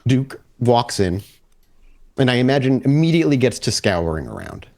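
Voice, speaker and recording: A man's voice: medium pitch, very animated, normal speed, a little mellow. A man improvising narration as the game master of a tabletop roleplaying game, descriptive and accommodating with players.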